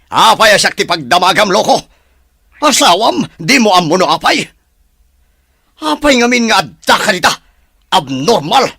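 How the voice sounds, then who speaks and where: An actor playing a stern, quick-tempered wealthy father in an audio drama, blunt and scolding. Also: high voice, very animated, gravelly, sharp tone, husky, dry recording, exaggerated voice. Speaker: father of the young husband. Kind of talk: Ilocano audio drama acting.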